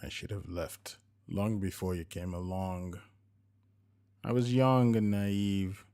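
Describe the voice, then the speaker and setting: A man's voice: low-pitched, steady tone, slow, dark tone, clean tone. A man voicing a playful, affectionate middle-aged wife who teases, in a narrated story. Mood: earnest.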